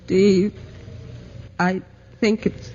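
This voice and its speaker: A woman's voice: mid-range voice, little pitch movement, drawn-out words, dark tone, breathy edge. A woman playing a nervous but plucky newspaper reporter in a 1940s radio drama, hesitant and dramatically alarmed. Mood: frightened. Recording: dull noisy 1940s radio recording.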